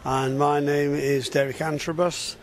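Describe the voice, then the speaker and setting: A man's voice: on the high side, level tone, unhurried. A man from England introducing himself as a re-enactor in a casual interview, plain and matter-of-fact.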